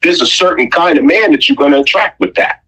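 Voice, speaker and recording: A man's voice: fairly high pitch, animated, fairly quick, sharp tone, breathy edge, low-quality recording. A man giving an opinionated, conversational monologue, blunt and emphatic, with rhetorical questions and pointed repetition. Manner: blunt, profane slang.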